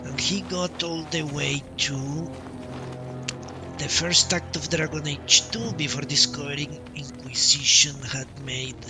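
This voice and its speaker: A man with a slightly high voice talks casually as he streams a game. His delivery is slow and halting, rambling through a story. Game music plays clearly behind him. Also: little pitch movement. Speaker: game livestreamer. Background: video game music.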